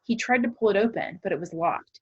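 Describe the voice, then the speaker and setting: A woman's voice: mid-range voice, fairly quick, husky, no room sound, dull audio. A woman schoolteacher explaining and reading a children's story aloud in simple, explanatory language for her pupils. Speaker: elementary school teacher.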